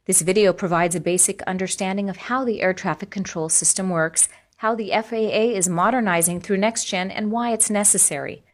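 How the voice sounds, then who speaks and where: An American woman reads a scripted explainer narration in a measured, instructional manner. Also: medium pitch.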